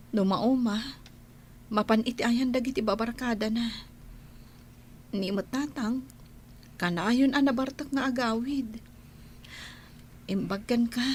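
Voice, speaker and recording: An actress with an Ilocano accent playing a sickly, meek young daughter in a radio drama, in a weak, subdued way. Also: female voice, medium pitch, a little hiss or hum, natural voice.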